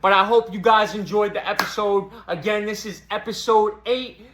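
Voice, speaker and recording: Young boston guy doing a stand-up rant, high voice, swears a lot, echoey room. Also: fairly even intonation, moderate pace, a crowd in the background. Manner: profane, streetwise, repeats "you know what I'm saying". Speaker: comedy channel host. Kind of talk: casual stand-up monologue to camera.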